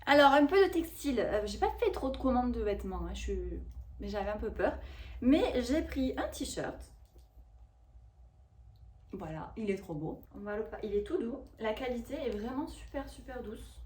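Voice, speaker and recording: A woman in her thirties chatting casually to camera about a shopping haul, rambling and expressive with lots of fillers. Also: on the high side, moderate pace. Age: 30s. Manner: chatty and rambling, with frequent fillers and self-corrections. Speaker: vlogger reviewing a shopping haul.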